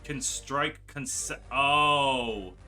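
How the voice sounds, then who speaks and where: A man hosting a game playthrough, commentating with exclamations and drawn-out words for emphasis. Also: mid-range voice, sharp tone. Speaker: gaming video host. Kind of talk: playthrough commentary, reading game dialogue aloud. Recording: music behind the voice. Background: game music.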